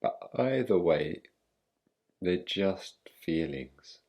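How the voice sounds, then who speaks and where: A man guiding a meditation in a calm, gentle, unhurried manner, leaving long pauses between phrases. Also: sits low. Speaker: meditation guide. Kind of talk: guided meditation, yoga nidra.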